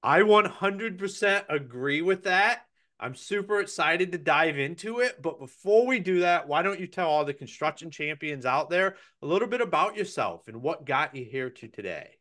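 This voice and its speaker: Male podcast host, 30s, hyped and upbeat, slightly hoarse, webcam sound. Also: fairly high pitch, moderate pace. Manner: energetic, hyped delivery. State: voice slightly crackling.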